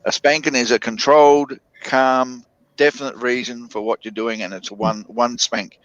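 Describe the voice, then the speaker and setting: A man talking casually in a group chat, halting, with frequent restarts and fillers. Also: medium pitch, steady tone, fairly bright tone, no echo, highs cut off.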